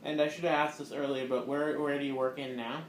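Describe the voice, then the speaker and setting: A man's voice: medium pitch, steady tone, fast, echoey room, slight background noise. A man interviewing for an oral history, asking curious, polite questions with some fillers.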